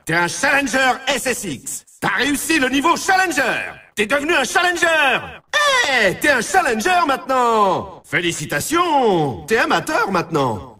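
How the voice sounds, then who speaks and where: A man playing a hyped-up, brash and teasing announcer in a snowboard racing video game, with a husky, rough-edged voice. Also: sits high, bright, forceful tone, recorded from a distance, exaggerated voice. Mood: excited congratulation.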